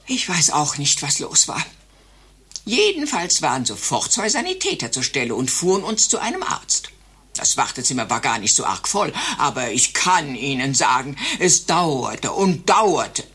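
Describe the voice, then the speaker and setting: A gossipy, indignant old widow with a husky, sharp voice. Her pitch leaps about as she spins exaggerated stories in a satirical radio play. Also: sing-song, moderate pace, full character voice. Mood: indignant, aggrieved. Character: chatty, indignant elderly widow telling tall tales.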